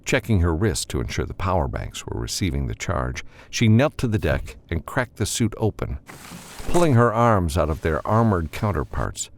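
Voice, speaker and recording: A man narrating scripted fiction as an audiobook reader, with expressive storytelling delivery. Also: rumbling low, gravelly, a little hiss or hum.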